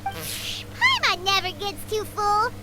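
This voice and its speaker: A woman voicing a tiny, chirpy, food-loving fairy companion with a squeaky childlike voice in a video game. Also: wildly swooping pitch, slow, very noisy, heavily acted. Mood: perky. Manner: speaks of herself in third person, exclamatory. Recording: loud game music underneath.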